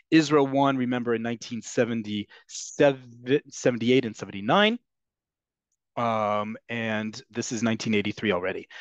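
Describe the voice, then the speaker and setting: A man leads a Hebrew lesson over a video call, explaining earnestly and filling pauses with ums. His voice sits at an ordinary pitch. The call audio is dull and close, with no room sound. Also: no echo. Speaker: Hebrew teacher. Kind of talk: online class lecture.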